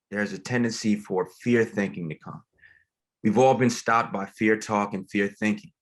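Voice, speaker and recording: A man playing a humble pastor who speaks politely and gently exhorts his listeners in a screenplay read. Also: lowish voice. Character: humble, encouraging male pastor urging courage.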